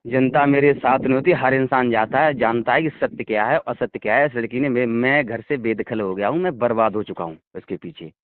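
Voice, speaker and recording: A man's voice: mid-range voice, a little fast, hardly any pauses. A man talking on a phone call, insistently justifying himself and asking to be heard out. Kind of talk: phone conversation. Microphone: telephone. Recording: tinny, dry phone call recording.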